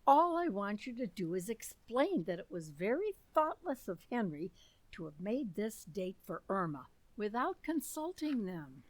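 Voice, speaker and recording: An animated, mid-pitched voice from a woman playing a wife and mother in a radio comedy. She is insistent, coaxing and reproachful. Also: a little slow, no room sound, low-quality recording. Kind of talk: radio comedy stage acting.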